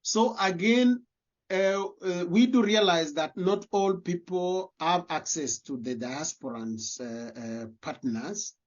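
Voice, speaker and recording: A man's voice: high-pitched, takes it slowly. Kenyan man, Kenyan accent, interview guest, earnest, restarts phrases, muffled lo-fi recording. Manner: earnest, repeats words while searching for phrasing. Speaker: guest, diaspora community leader. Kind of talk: interview answers. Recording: dull lo-fi audio.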